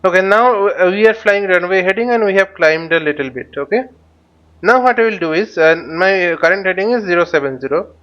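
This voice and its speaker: Higher-pitched man, halting tutorial delivery, dull audio. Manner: halting, thinking aloud while demonstrating. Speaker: flight navigation instructor. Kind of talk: live flight simulator tutorial commentary. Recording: dull, lo-fi microphone sound.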